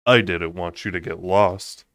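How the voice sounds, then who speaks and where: A young adult man narrating a fantasy novel as an amateur audiobook reader, with deliberate, dramatic phrasing. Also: low voice, fairly even intonation, a bit bright, no echo. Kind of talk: unedited expressive audiobook reading of a fantasy novel.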